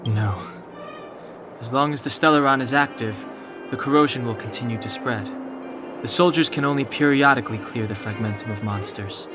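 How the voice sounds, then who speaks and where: An actor playing a level-headed, serious companion in a video game, terse and dryly matter-of-fact. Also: male voice, mid-range voice, normal speed, music in the background, close to an everyday voice. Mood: grave. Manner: terse, dry, sober.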